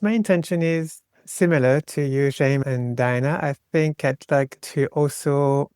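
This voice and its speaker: A man's voice: fairly high pitch, unhurried, darkish tone, smooth, dry recording, studio quality. A middle-aged man from France with a French accent, speaking reflectively and explaining his thoughts in a conversational interview. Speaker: podcast guest, psychosexual psychotherapist.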